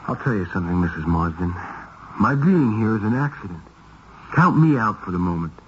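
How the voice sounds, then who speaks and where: Actor as blunt hard-boiled detective, muffled vintage radio. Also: male voice, lowish voice, animated, brisk, natural voice. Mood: urgent, commanding. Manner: clipped, direct questioning. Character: blunt, quick-thinking male detective, hard-boiled and in command. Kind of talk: 1970s radio mystery acting with first-person narration. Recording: dull, hissy 1970s radio recording.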